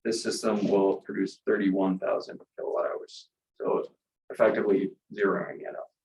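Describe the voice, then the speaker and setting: A man's voice: sits low, flat delivery, normal speed, creaky. A man presenting a solar panel plan at a public meeting, hesitant and full of fillers. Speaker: solar project applicant presenting a design. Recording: echoey room with background noise.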